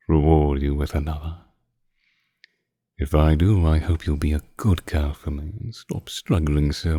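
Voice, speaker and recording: Man acting a seductive male vampire, ASMR roleplay, very deep pitch, dark mellow tone, condescending purr, dry intimate sound. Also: husky, dry recording, heavily acted. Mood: coaxing, teasing. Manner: slow, teasing, condescendingly tender purr. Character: seductive, possessive male vampire toying with his captive.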